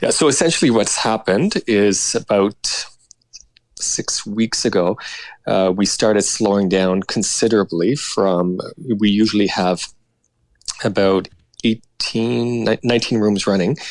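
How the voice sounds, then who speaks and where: Very deep-voiced man, measured guest. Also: no echo. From Canada. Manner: measured, explanatory. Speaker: guest, anesthesiologist.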